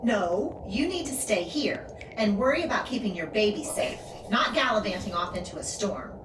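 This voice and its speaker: A woman's voice: medium pitch, animated, normal speed, natural voice. A woman playing an anxious older mother-in-law in an audio drama, fretting and admonishing. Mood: worried, scolding. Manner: fretful, admonishing. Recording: echoey, distant mic, storm noise.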